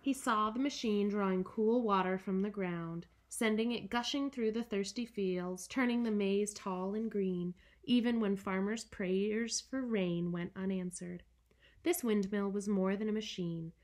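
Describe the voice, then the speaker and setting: A woman in her thirties reads and chats to children in an animated, encouraging way, as a picture-book storyteller. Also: medium pitch, unhurried. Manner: animated, encouraging, wide-eyed emphasis. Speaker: children's story reader, camp director. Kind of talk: expressive picture-book read-aloud and chat to children. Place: small indoor playroom.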